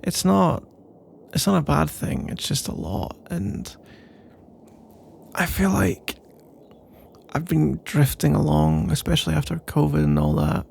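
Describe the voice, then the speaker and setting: A man playing a nervous, pensive roommate in an intimate ASMR roleplay, speaking in a soft, husky, halting ramble. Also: on the high side, slight background noise. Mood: pensive, weary. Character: nervous, pensive male roommate working up to a confession.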